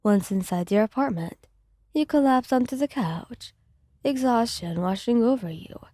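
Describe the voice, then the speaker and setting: A woman reading scripted fan fiction as soft ASMR narration, with a gentle, soothing delivery. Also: fairly high pitch, animated, moderate pace, dry recording, clean studio sound.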